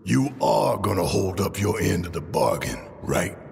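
Gravelly, hot-tempered big fighter. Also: male voice, low-pitched, expressive, measured pace, long reverb tail, a little hiss or hum, full character voice. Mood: threatening. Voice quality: gruff, gravelly. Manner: blunt, combative. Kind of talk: video game cutscene acting.

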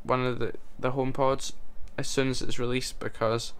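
A young man talks loosely to camera in his bedroom, rambling a little and joking at his own expense. His voice is smooth and clear at a middle pitch, picked up close by the camera. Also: flat delivery, normal speed. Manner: chatty, rambling, self-mocking with small laughs. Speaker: vlogger. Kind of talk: casual talk to camera. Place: bedroom, indoors. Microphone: camera mic at close range.

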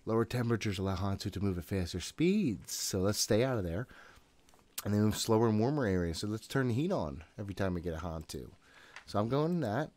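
Low-voiced man, profane gamer. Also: expressive, a little fast, darkish tone. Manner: profane, jittery, talking to himself. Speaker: gaming host. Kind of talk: casual gameplay commentary. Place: room at home.

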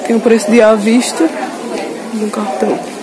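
Female market vlogger, Northeastern Brazilian accent, higher-pitched voice, casual and chatty to camera, very busy crowd all around. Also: flat delivery, moderate pace, background noise. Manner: informal, addresses viewers often, repeats details. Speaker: vlogger showing market clothing stalls. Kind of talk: casual shopping vlog chat to viewers. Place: busy street clothing market. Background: loud market crowd.

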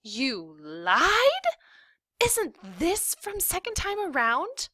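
A woman voicing an excitable, defiant ten-year-old girl in a story, indignant, dramatic and argumentative. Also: very high-pitched, drawn-out words, no room sound, studio quality, voice pushed up, heavily acted. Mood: shocked, angry.

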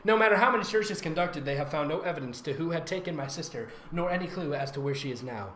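Theatrical, high-pitched man with a bright voice. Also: expressive, fast. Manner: theatrical, giggly, sarcastic. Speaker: host, fan fiction reader and voice actor. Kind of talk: comedic read-aloud with mocking commentary. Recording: roomy echo with faint noise.

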